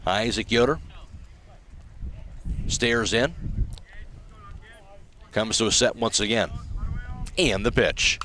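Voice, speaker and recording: A man's voice: fairly low pitch, slow pace, pauses often, sharp tone. A man calling a live baseball game play-by-play as a radio announcer. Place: outdoor ballpark radio broadcast.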